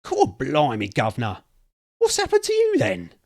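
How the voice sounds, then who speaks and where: A man voicing a blunt, argumentative cockney pub regular who talks loudly and in slang. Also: a little high, wildly swooping pitch, moderate pace, gravelly, no echo, studio quality, voice pushed up, exaggerated voice. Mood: excited.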